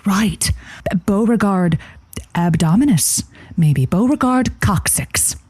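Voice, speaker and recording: A woman playing a game but halting advice-show host in improvised radio comedy, repeating herself and using showily learned words. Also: mid-range voice, animated, darkish tone, breathy edge, no room sound. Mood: game, amused.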